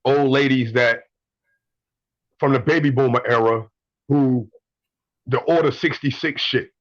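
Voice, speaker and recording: A man's voice: medium pitch, a little slow, no echo, highs cut off. A man in his twenties calling in to a show, telling blunt stories, swearing and repeating himself. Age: 20s. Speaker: caller. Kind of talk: casual call-in story.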